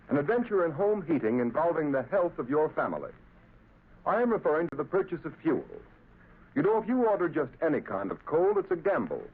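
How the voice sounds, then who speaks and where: Old radio announcer guy, lively pitch, husky, tinny sound. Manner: earnest, persuasive, emphatic. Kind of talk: scripted radio advertisement.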